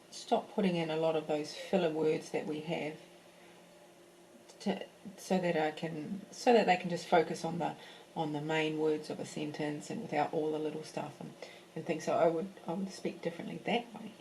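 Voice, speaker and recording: A fairly low, mellow-voiced woman talks through a painting lesson at an easy, chatty pace, often restarting her sentences. A faint hiss sits under her voice. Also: rough recording. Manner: chatty, thinks aloud with fillers and restarts. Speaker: art teacher. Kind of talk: live painting class, casual talk. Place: home in a French village.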